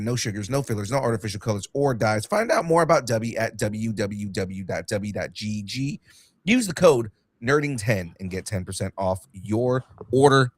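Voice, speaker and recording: A young man hosting a casual podcast, excitable and swearing freely, with a light cold and a slight cough. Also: on the low side, no room sound, studio quality. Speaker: podcast host.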